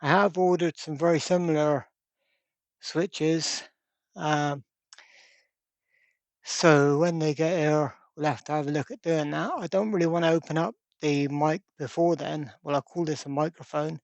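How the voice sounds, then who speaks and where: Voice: mid-range voice, fairly even intonation, measured pace, darkish tone, no room sound. A presenter giving a casual workbench repair demonstration, thinking aloud step by step in an informal way. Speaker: electronics repair hobbyist presenter.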